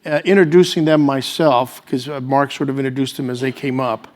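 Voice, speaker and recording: A man hosting a panel, speaking semi-formally and off the cuff in a halting way with restarts. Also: mid-range voice, steady tone. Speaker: panel moderator and host.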